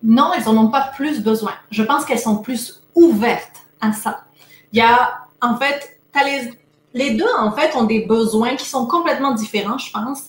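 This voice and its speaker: A woman's voice: fairly high pitch, normal speed, reverberant, a little hiss or hum. A woman from France with a Montpellier accent blended with Québécois expressions, chatting upbeat as a coach being interviewed. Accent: Montpellier French mixed with Québécois. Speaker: productivity coach. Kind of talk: casual interview. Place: Québec.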